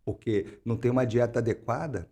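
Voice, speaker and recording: A man talks through an interview in a loose, rambling way, often restarting and tagging his sentences with questions. His voice is dark and husky. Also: medium pitch, brisk. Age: 60s. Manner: rambling, frequent "né?" tags and restarts. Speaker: interview guest. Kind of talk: conversational interview.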